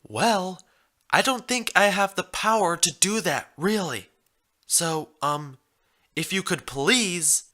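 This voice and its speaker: A man's voice: high voice, expressive, slow, bright, forceful tone, pitched above the performer's own voice, full character voice. A man voicing an injured female pony nurse in a story reading, embarrassed and exasperated, hesitant and protesting.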